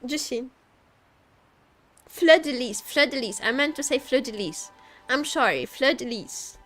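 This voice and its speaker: A woman's voice: high voice, animated, a little slow, fairly bright tone. A woman game streamer chatting casually and playfully over gameplay, often repeating her phrases. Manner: playful, repeats phrases, teasing role-play as a vampire. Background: video game music.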